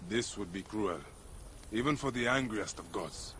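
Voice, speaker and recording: Stern, animated warrior hero. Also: male voice, fairly low pitch, normal speed, a little hiss or hum. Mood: concerned, firm. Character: stern, righteous male avenging warrior-lawman hero. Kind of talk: video game character acting.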